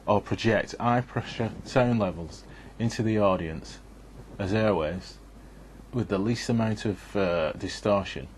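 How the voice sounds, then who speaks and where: A man's voice: on the low side, unhurried, darkish tone, a little hiss or hum. A man giving a scripted, methodical instructional narration in an explanatory way.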